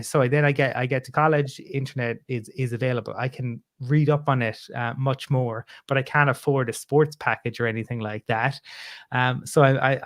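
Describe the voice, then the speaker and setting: A man's voice: mid-range voice, no room sound, clean studio sound. An Irish man in his forties, a guest on a podcast, talking casually and rambling with many fillers and restarts. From Ireland.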